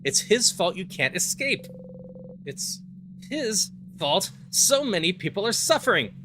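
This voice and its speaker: A person voicing a despairing hero's obsessive, bitter inner monologue in a dramatic game voice-over, intense and halting, repeating phrases. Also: medium pitch, sing-song, bright, forceful tone, slight background noise, pitched above the performer's own voice. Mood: vengeful.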